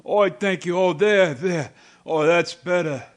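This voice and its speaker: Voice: mid-range voice, a little slow, lightly acted. An actor playing a fussy, easily offended mouse in a storybook radio play, melodramatic and indignant. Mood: relieved. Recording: music behind the voice.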